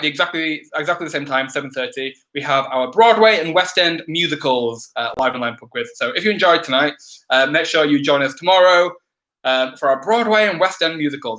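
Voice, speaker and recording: A man's voice: a little high, lively intonation, quick pace, a bit bright. A man hosting an online quiz, giving chatty instructions full of ums, his voice a little crackly and throaty. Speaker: quiz host. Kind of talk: live-stream housekeeping announcements.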